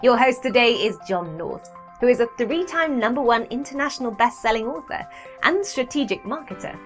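A woman's voice: a little high, animated, normal speed. Upbeat woman announcer over faint music. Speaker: show announcer. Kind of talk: scripted intro read.

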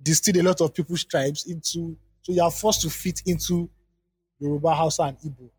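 A man who co-hosts a podcast, reading a listener's letter aloud and then chatting about it in quick bursts with frequent restarts. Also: fairly high pitch, fairly even intonation, a little mellow, breathy edge, no room sound, in a large hall. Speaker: podcast co-host.

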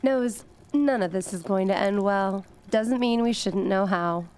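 A woman playing a wry, knowing veteran conspirator in an audio drama, speaking in a measured way. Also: mid-range voice, a little mellow, clean tone, close to an everyday voice. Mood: knowing, calm.

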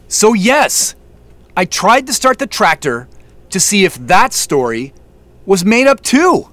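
A man's voice: high voice, fairly bright tone, full character voice. An actor playing a defensive young man who has been caught sneaking around a farm and turns accusing in an audio drama.

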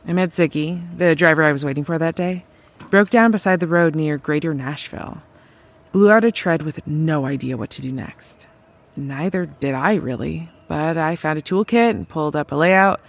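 A woman's voice: low-pitched, moderate pace. A woman playing a resourceful drifter mechanic, telling of travels in a naturalistic audio drama, conversational and wry. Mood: upbeat, candid.

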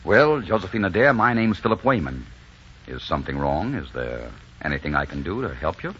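An actor playing a rugged, bold adventurer smitten with love, in dramatic, romantic 1940s radio style. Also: male voice, sits low, sing-song, brisk, a bit bright, a little hiss or hum. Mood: concerned, coaxing. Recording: dull 1940s radio recording with music.

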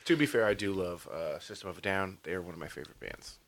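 A man's voice: sits low, fast talker, vocal fry. A young man co-hosting a casual podcast chat, joking and swearing freely. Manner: animated, swearing, jokey. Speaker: podcast co-host.

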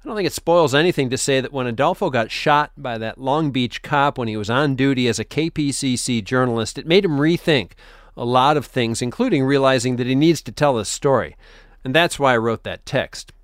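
Man, radio host, segment intro, personal tone, mid pitch, dry studio sound. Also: brisk, no echo.

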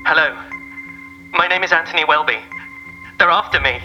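A man's voice: a little high, moderate pace, highs cut off. An actor playing a panicked, hunted man who stammers frantically in a telephone message in an audio drama. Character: panicked hunted man pleading on answering machine. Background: loud music.

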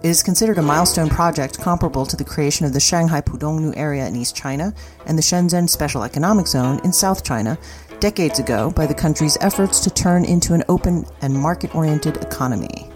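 A woman's voice: deep voice, fast. A woman reading a scripted news roundup as a podcast newsreader. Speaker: news reader. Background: music bed.

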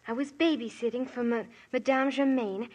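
An actress playing a polite, cheeky schoolgirl witness in a 1950s radio drama, breathy-voiced and courteous. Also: a little high, fairly even intonation, normal speed, a little mellow, natural voice. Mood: earnest. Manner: polite, keeps adding "monsieur".

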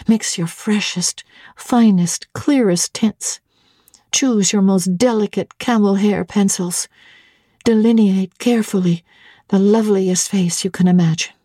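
A woman's voice: mid-range voice, warm tone, dry recording, clean studio sound, natural voice. Stern, scornful young governess berating herself, acted by a woman. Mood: stern commanding. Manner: severe, commanding, scornful. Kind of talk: dramatic literary monologue.

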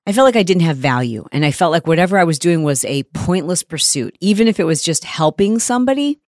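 A woman with a low, slightly hoarse voice hosts her own podcast, talking candidly and with emphasis straight to the listener. The sound is close and dry, with no room around it. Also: very animated, quick pace, darkish tone, no room sound. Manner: open, conversational, emphatic. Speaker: podcast host. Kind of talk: candid solo podcast monologue.

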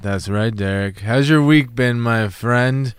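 A young man from Canada co-hosting a casual podcast, laid-back and joking with drawn-out fillers. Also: medium pitch, smooth, no room sound. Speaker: podcast co-host. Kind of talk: casual chat.

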